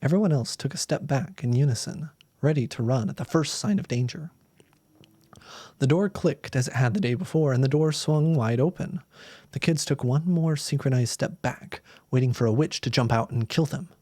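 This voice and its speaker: A man's voice: medium pitch, moderate pace, darkish tone, dry recording, studio quality. A man narrating a story aloud as a storyteller, reading expressively. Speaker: storyteller, streamer.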